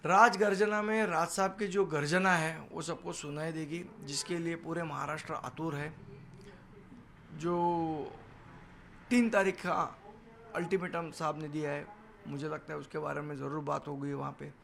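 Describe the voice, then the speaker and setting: Male party spokesman, higher-set voice. Assertive news-interview answers, light ambient noise. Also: steady tone, a little slow, vocal fry, fairly bright tone. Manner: assertive, defiant, emphatic repetition. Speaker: political party leader and spokesman. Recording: noisy location sound, background ambience.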